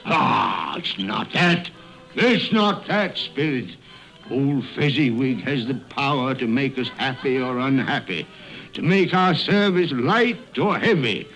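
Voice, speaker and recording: A man's voice: mid-range voice, animated, takes it slowly, a bit bright. An actor playing a frightened, remorseful old miser in a radio drama, exclaiming, stammering and repeating his words. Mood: earnest, moved. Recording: muffled radio broadcast with music underneath.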